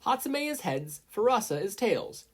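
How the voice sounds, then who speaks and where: A commanding woman referee, as acted out by a narrator, pitched well up and projected loudly. She calls out matches with authority, as in an audiobook. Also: very animated, moderate pace. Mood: announcing. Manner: loud, projected announcing.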